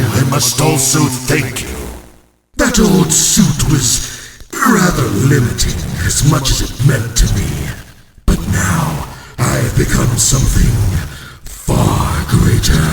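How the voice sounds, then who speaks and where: Gravelly, wildly theatrical monstrous villain. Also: male voice, medium pitch, heavily acted. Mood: cold contempt. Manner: theatrical, pompous, menacing, archaic wording. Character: grandiose, vengeful villain in a new monstrous body. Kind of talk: villain monologue for a horror game. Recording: echoey reverberant hall, distant, loud noise.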